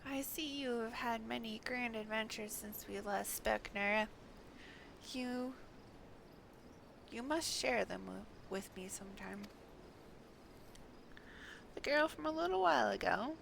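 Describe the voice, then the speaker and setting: A woman's voice: fairly high pitch, measured pace, creaky, pauses often, background noise. A woman voicing a courtly, observant game character with formal, flowery phrasing. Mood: courteous, wistful. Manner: formal, flowery, gently boastful. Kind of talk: game dialogue read aloud in character.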